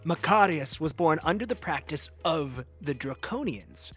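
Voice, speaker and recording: Eager young male gamer, played by a man, newcomer, easily miffed, very lively intonation, audio drama with music. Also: fairly high pitch, unhurried, husky, exaggerated voice. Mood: showy, earnest. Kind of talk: naturalistic audio drama dialogue.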